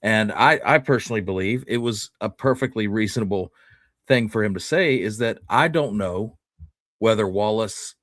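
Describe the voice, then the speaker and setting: Lower-voiced man reasoning aloud, dry sound. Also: a bit bright, dry recording. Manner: informal, reasoning aloud point by point. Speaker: history show co-host. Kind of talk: casual opinionated discussion.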